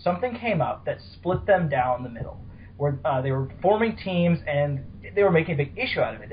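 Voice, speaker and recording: A man's voice: on the high side, slight background noise. A man hosting a casual fan discussion podcast, talking in an informal, rambling way. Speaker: podcast host. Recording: dull, lo-fi voice call audio.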